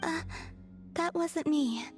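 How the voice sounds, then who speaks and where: Gentle moon maiden, high voice, very unhurried, composed, soft-spoken, game dialogue. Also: female voice, music in the background. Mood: calm, gentle. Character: serene, gentle young moon goddess maiden. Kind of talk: video game voice acting.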